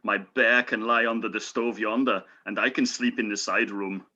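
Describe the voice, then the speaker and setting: A man's voice: on the low side, fairly even intonation, normal speed, sharp tone, lo-fi sound. A man playing an earnest, brave medieval Norseman in an amateur radio play, speaking in mock-archaic, declamatory phrases. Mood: confident.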